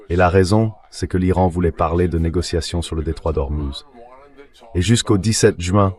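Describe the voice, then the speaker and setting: A man giving measured, explanatory political commentary as a guest in a dubbed interview. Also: deep voice, a little mellow.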